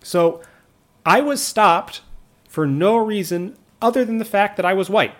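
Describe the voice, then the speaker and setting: A man's voice: high-pitched, unhurried, a bit bright. A man in his thirties, an English speaker, talking earnestly and reflectively about his own experiences, with frequent restarts and asides. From United States. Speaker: vlogger living abroad. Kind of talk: reflective personal monologue.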